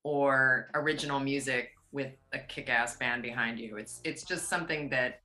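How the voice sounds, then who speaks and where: A woman singer from near Pittsburgh talks in a chatty, rambling way. She has a low, forceful rock voice with a bright edge, and the recording is roomy and echoey. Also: fairly even intonation, normal speed. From USA, outside Pittsburgh. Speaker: singer, interview guest. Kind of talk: casual interview chat. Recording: roomy echo.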